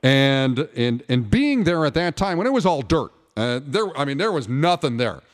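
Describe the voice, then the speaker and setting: A man's voice: fairly high pitch, big pitch swings, moderate pace. A man chatting conversationally about sports on a radio talk show. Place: radio studio.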